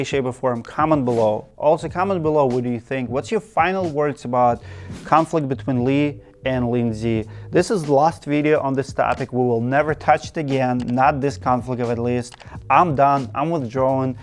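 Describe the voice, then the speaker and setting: A man's voice: medium pitch, slight background noise. Earnest Russian-accented man giving advice. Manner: earnest, direct, list-by-list advice with emphatic repetition. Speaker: channel host. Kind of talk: advice monologue to camera.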